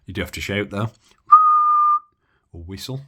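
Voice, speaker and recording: A man from the Midlands demoing a radio at his bench in a casual, halting way with lots of fillers. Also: low voice, very noisy. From Midlands of England. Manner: halting, many fillers and restarts. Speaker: radio hobby vlogger. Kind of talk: casual hands-on demo.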